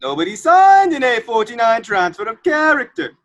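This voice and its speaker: A woman acts out a petty official in a comic radio play. The voice is rather high and very lively in pitch, yet unbending and matter-of-fact about every rule. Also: moderate pace. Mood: officious. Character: unbending, officious bureaucratic clerk, deadpan and obstructive. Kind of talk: comedic radio play acting.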